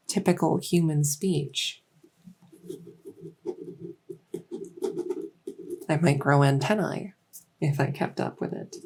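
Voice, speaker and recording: A woman voicing a gentle fairy dragon girl doctor in a soft-spoken ASMR roleplay, reassuring her patient with hesitant pauses. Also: low-pitched. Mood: reflective, explaining.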